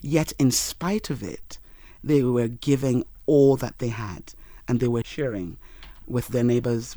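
A man, a Yoruba speaker, telling his life story in an interview in an earnest, measured way. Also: mid-range voice, warm tone, no room sound. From Nigeria. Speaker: interview guest.

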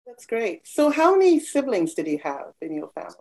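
A woman hosting a podcast, introducing her guest and asking questions in a measured way. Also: a little high, sing-song, darkish tone, rough recording. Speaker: podcast host.

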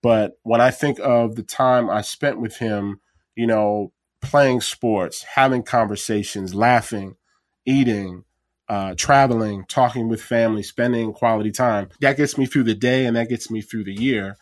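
A man's voice: on the low side, unhurried, no room sound, studio quality. A man reflecting in a heartfelt way on memories of his late father. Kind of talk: personal reflection.

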